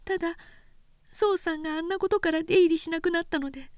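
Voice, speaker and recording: A woman voicing a devoted young woman who pleads quickly and urgently in a high voice. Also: no room sound, clean studio sound. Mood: pleading. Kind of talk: literary reading.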